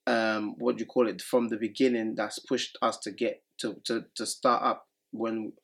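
A man co-hosting a podcast in casual chat, animated and acting out his relatives' voices, English not his first language. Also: fairly low pitch, normal speed, breathy edge. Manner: animated, acts out relatives' voices mid-story. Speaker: podcast co-host.

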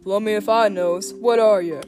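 A woman voicing a big, coarse, drunk male troll who talks loud and rough, over guitar music. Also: mid-range voice, steady tone, normal speed, natural voice. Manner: loud, rough-spoken, dropped words.

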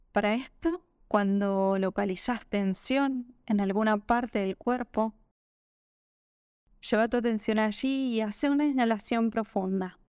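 A woman with a Rioplatense Spanish accent leads a guided meditation at a very slow pace. Her voice is fairly high and very smooth. She gives each instruction gently and calmly. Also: no echo, clean studio sound. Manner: gentle, calming, unhurried instruction. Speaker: yoga nidra meditation guide.

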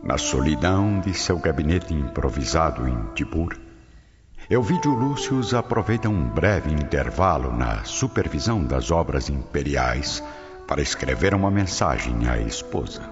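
A man's voice: very deep voice, very animated, a little slow. A man with a Brazilian accent narrating a radio drama from a script, solemn, formal and theatrical. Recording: dull audio, long reverb, music behind.

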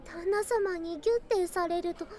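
A woman voicing a doting, excitable young lamia bride in an ASMR voice drama, speaking in archaic, old-fashioned phrases with girlish excitement. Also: very high-pitched, normal speed, crowd noise. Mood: flustered, shy. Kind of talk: ASMR situation voice drama, addressed to the listener.

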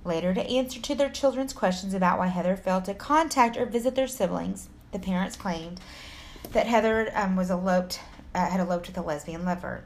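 A woman's voice: medium pitch, brisk, slight background noise. A woman from Texas with an American accent, co-hosting a podcast, reading a story in an informal way with casual asides and stumbles. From Texas, United States. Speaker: true crime podcast co-host.

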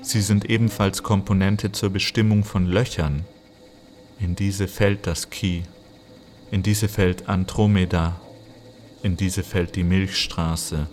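Male narrator for audio art piece: deep, level delivery, factual recitation, music underneath. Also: fairly even intonation, takes it slowly, darkish tone. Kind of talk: scripted literary narration.

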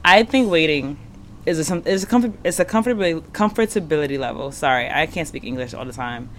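Fast-talking rambling woman. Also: low voice, lively intonation, a little hiss or hum. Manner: rambling, jokey, self-correcting. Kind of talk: casual chat.

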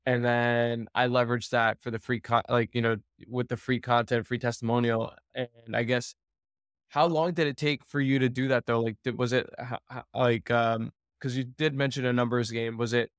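A man hosts an interview, asking long questions with many restarts and fillers. His voice has a lot of gravelly fry at a middle pitch. The sound is dry and close. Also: no echo. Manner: hesitant, rambling questions. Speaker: podcast host. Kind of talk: casual interview talk.

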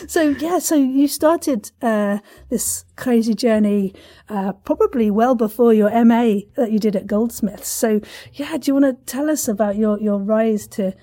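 A woman's voice: a little high, warm tone. A woman hosting an interview with effusive, enthusiastic chat and frequent giggly delivery. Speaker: podcast host.

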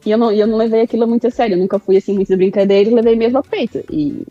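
A woman in her mid-thirties from Brazil, with a Brazilian accent tinged by European Portuguese, speaking as a guest in a remote interview in a reflective, halting way with restarts. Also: on the high side, steady tone, mellow, no echo, dull audio, soft background music. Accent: Brazilian with European Portuguese touches. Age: mid-30s.

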